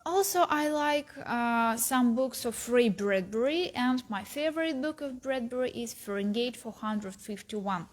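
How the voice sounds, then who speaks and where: A young woman, a university student whose first language is Russian, giving a careful, halting talk about books in English, with many pauses. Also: lively intonation. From Kazakhstan. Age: young adult. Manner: rises in pitch at the start of each new point. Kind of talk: recorded book talk.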